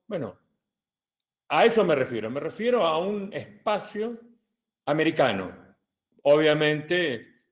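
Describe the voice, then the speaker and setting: An older man with an Argentine accent answering questions as a political commentator, in a deliberate, didactic way with emphatic repetition. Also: medium pitch. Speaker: retired colonel and political commentator.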